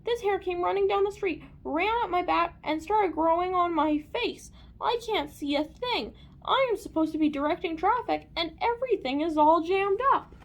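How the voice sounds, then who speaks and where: A woman's voice: very high voice, moderate pace, few pauses, voice pushed up. A woman voicing a frantic, flustered, complaining policeman in a children's storybook. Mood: exasperated.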